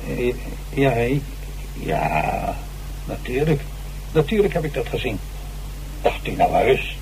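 A man's voice: medium pitch, big pitch swings, takes it slowly, a little mellow. A man in a Dutch radio drama playing an agitated, boastful old stage actor who stammers theatrically and defensively. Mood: evasive, boastful. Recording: noisy, humming old radio recording.

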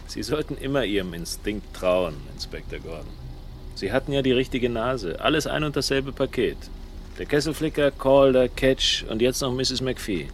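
An actor playing a shrewd, dryly ironic investigator in a German radio crime drama. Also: male voice, mid-range voice, moderate pace, a little hiss or hum, natural voice. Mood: wry. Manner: dry, ironic, articulate. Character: shrewd, wry male investigator, dryly teasing, persistent.

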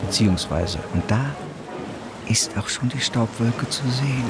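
A man's voice: medium pitch, a little slow, noisy background, lightly acted. A man acting as the narrator of a radio play, in scripted dramatic delivery. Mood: weary, questioning. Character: male narrator and voice of the fleeing people. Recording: clear music under the voice.

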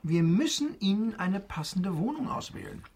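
Chatty young nobleman, really husky breathy voice, gallant, radio play. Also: high voice, very animated, normal speed, a little mellow, heavily acted. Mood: eager, urbane. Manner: urbane, talkative, courteous. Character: young Parisian viscount, male, eager, chatty, gallant son. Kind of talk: German radio drama acting.

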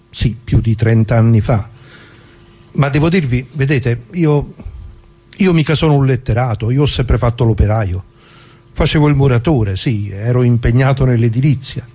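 An actor playing an elderly working-class Italian former bricklayer in a radio drama monologue, plain-spoken, halting and folksy as he reminisces. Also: medium pitch, mellow, slightly rough, natural voice. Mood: humble, welcoming. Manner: folksy, halting, with rhetorical tags.

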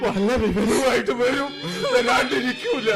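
A man's voice: very high voice, measured pace, loud music behind the voice. An actor playing an anxious father who searches for his children after a disaster in a radio play. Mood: distressed. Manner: pleading, distressed, comforting.

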